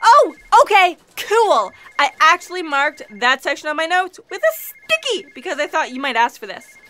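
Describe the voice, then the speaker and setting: A high, chirpy, flustered fact checker. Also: female voice, big pitch swings, moderate pace, sharp tone, full character voice. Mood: flustered, eager. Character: eager, scatterbrained young female fact checker on a cosmic broadcast. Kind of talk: comic sci-fi audio theatre.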